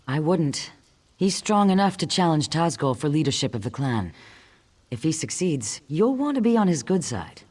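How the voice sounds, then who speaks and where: Female orc, sharp-tongued, wry, very varied pitch, mocking game character. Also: big pitch swings, moderate pace, husky, full character voice. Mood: frank, advising. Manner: mocking, frank. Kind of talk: video game character acting.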